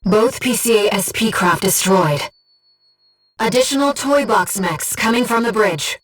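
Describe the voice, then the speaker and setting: A woman voicing a gentle, composed, earnest disembodied female guide in a video game, speaking in a measured, softly formal and precise way. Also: medium pitch, expressive, recorded from a distance. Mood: composed, focused. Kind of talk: scripted video game voice acting, mission guidance. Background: music.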